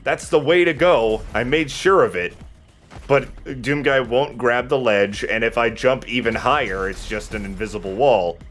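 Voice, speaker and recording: A man's voice: medium pitch, moderate pace, fairly bright tone. A man giving casual gameplay commentary as he plays, talking through it in offhand asides. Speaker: let's player playing the game. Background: video game music.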